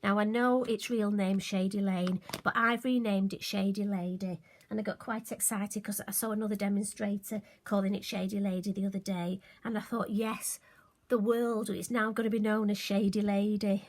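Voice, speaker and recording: A friendly woman talks her viewers through a card-making demonstration in a casual, self-deprecating way. Her voice is slightly hoarse and occasionally croaky, sitting at an ordinary middle pitch. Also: level tone, moderate pace. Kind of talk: casual crafting tutorial.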